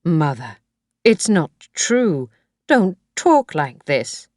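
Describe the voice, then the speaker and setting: A woman voicing a calm husband who speaks in a soft, steady, reassuring way. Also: deep voice, drawn-out words, no echo, studio quality, full character voice.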